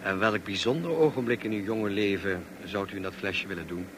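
Man playing a worldly reserved gentleman, radio drama, measured, dry, deeper pitch, noticeable car noise. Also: lightly acted. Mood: courteous, amused. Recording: clear background noise, car ambience. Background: car ambience.

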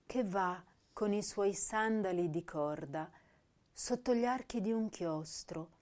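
An actress playing an intense, sensitive young woman poet, declaiming verse in a measured, lyrical way for a radio drama. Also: mid-range voice, a little mellow, close to an everyday voice. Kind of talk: dramatic poetry recital in a stage-style radio drama.

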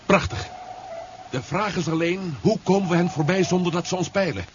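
An actor playing a brisk, commanding general firing off questions in a 1970s radio drama. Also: male voice, sits high, lightly acted. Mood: urgent, eager. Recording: muffled, noisy old 1970s radio recording.

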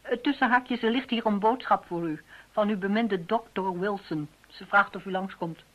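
An actress playing a middle-aged secretary in a radio play, dry and sardonic with a teasing edge. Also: female voice, mid-range voice, normal speed, natural voice. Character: sardonic older female secretary, teasing her boss. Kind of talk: Dutch radio play acting. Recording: slightly dull radio play recording.

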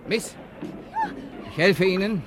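An actor playing a confident, daring rogue who passes as a sailor in a 1960 German radio crime drama. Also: male voice, high-pitched, slow. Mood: hearty, brisk. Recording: noisy vintage radio drama recording.

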